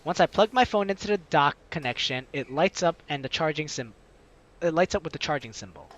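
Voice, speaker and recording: A man's voice: on the high side, expressive, fairly quick, a bit bright, lo-fi sound. A man hosting a let's play, reading the story aloud in a playful, dramatic way and adding his own commentary.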